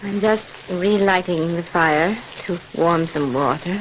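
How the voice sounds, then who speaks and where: A woman's voice: fairly low pitch, unhurried, lightly acted. A woman playing a secretive, falsely sweet stepmother in a 1940s radio horror drama. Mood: soothing, furtive. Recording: crackly old radio recording.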